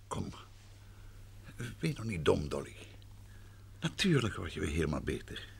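Deep-voiced, composed gentleman detective. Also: very animated, creaky, heavily acted. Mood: soothing, probing. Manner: soothing, measured, probing. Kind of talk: old Dutch radio drama acting. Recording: noisy old radio recording.

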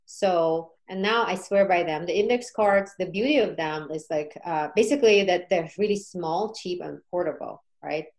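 A woman's voice: on the low side, normal speed, hardly any pauses, a little mellow. A woman guest on a podcast, telling her experience in a casual, informal way with frequent check-in questions. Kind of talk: casual conversational storytelling.

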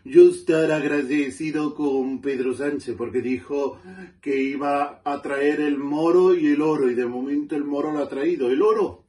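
A man playing a cheeky immigrant man who speaks in broken grammar and tells deadpan one-liners. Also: on the high side, moderate pace, distant mic, natural voice. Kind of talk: stand-up character comedy.

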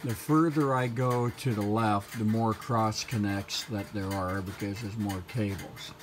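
Slow-talking, methodical man explaining. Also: on the low side, fairly even intonation, background noise. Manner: methodical, matter-of-fact, technical. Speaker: hobbyist presenter. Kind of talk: explanatory demonstration narration.

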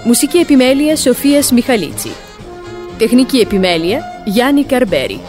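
A woman's voice: a little high, expressive, a little mellow, faint music behind. A woman announcing a radio play's credits in a formal, scripted style.

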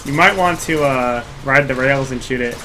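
A man's voice: medium pitch, moderate pace. A man giving casual, teasing and sarcastic tips as co-commentator to someone playing a video game. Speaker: co-commentator coaching the player. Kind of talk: casual gameplay banter and tips. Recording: faint game noise behind voice.

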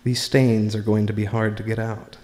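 An American man reading his own writing aloud at a live open mic, in a deliberate, measured way with pauses between short phrases. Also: on the low side, little pitch movement, dark tone. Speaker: spoken word performer reading own writing. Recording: live recording in a reverberant room.

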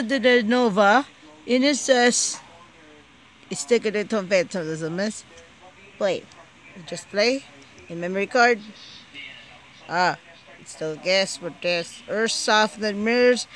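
A person presenting a casual home unboxing video in a halting, disjointed way, often repeating words. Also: medium pitch, a bit bright, poor audio. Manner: halting, disjointed, repetitive delivery. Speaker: amateur video maker showing gadgets.

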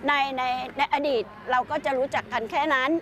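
An older woman talks in a halting, high-pitched way, pausing between phrases. She is interviewed outdoors on a lapel mic, with a faint background hum. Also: fairly even intonation, pushed and bright. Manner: hesitant, halting with fillers. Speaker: local interviewee. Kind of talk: casual interview talk. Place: outdoors at an ancient stone temple.

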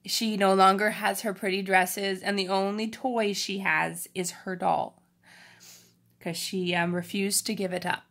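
A woman reads a story aloud to children in an expressive, informal manner, stopping for casual explanations. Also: mid-range voice, unhurried.

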